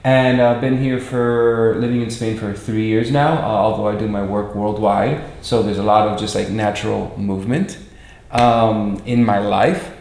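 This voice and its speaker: A man in his forties with an American accent, chatting reflectively as an interview guest, halting and repeating words as he searches for them. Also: fairly low pitch, fairly even intonation, darkish tone. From Miami, United States. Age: 40s. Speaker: interview guest, performer and director. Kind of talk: casual reflective chat. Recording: echoey room.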